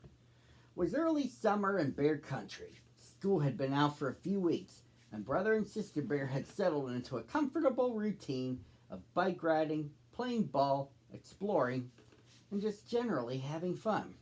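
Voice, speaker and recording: A man's voice: fairly high pitch, big pitch swings, normal speed, darkish tone, slightly rough. A man in his thirties hosting and reading a storybook aloud for children. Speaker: storybook reader, host.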